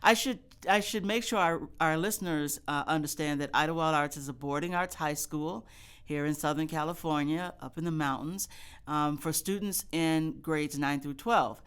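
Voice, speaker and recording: A woman's voice: deep voice, moderate pace, clean tone. A woman hosting a conversational interview podcast, speaking in an effusive, complimentary way.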